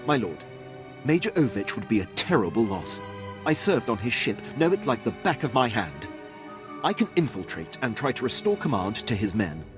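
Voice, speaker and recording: An actor playing a loyal, deferential Imperial military officer in a video game, reporting in a crisp, formal manner. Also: male voice, medium pitch, sing-song, moderate pace, music in the background, natural voice. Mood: earnest, formal. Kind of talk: scripted video game acting.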